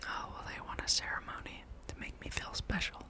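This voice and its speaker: A person whispering a relaxed ASMR gameplay commentary, breathy and rambling, with dry, wry asides. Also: brisk. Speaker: host of a gaming ASMR channel.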